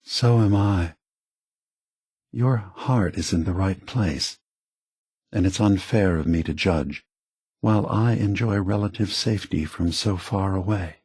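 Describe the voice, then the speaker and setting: An ancient synthetic being who leads with gentle, weary idealism in a video game. The voice is husky and takes its time, halting and choosing words with earnest care. It is recorded very dry and close. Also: male voice, low voice, no room sound. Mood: apologetic, measured. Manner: measured, halting, earnest, diplomatic. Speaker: leader of a synth refuge. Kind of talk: video game character dialogue.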